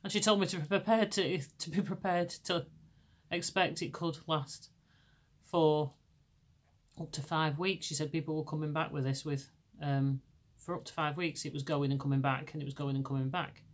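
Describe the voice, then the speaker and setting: A middle-aged woman from England with a Lancashire accent, hosting a podcast in casual chat. Her naturally deep voice is still hoarse from laryngitis, and she rambles with many restarts. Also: fairly even intonation, moderate pace. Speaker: podcast host.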